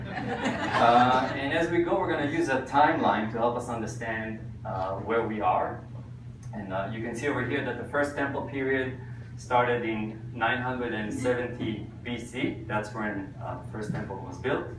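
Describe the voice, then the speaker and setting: A man's voice: medium pitch, steady tone. Israeli-accented man lecturing in an echoey hall. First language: Hebrew. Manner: halting, many uh fillers and restarts, self-deprecating humor. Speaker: tour guide teaching a church group. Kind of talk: lecture with slides and jokes. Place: church hall with audience. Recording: echoey room, voice from a distance, noisy. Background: audience laughter, room ambience.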